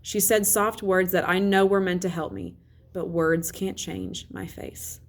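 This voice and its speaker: A woman reads a children's story aloud in a lowish voice. She narrates as a teacher would for a class. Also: steady tone, unhurried, gravelly.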